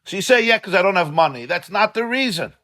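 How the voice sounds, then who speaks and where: Rabbi, man, slightly high voice, conversational and impassioned, study-hall class with Yiddish and Hebrew terms. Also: lively intonation, quick pace, fairly bright tone. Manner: impassioned, conversational, repeats words for emphasis. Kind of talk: informal religious lecture to a live group.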